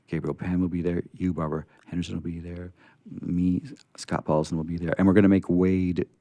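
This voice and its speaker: A man hosting a radio storytelling show in casual, unscripted chat, rambling and halting in a folksy way. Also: deep voice, little pitch movement, warm tone, dry recording.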